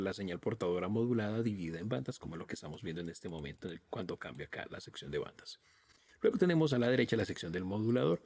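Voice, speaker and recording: A man narrating a software tutorial in a methodical, step-by-step, informal way. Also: lowish voice, creaky, husky. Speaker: audio software reviewer and presenter.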